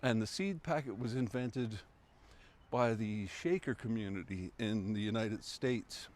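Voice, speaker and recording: A man's voice: lowish voice, measured pace, a little mellow. A man chatting casually as co-host of a live stream, amused, with fillers and asides. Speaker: co-host of a local history stream. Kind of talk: casual chat.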